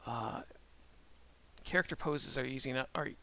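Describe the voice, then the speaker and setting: A middle-aged man chatting casually on a live stream while he draws, thinking aloud in a calm voice with halting restarts. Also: mid-range voice. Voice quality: calm-sounding voice. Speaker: streamer and animator.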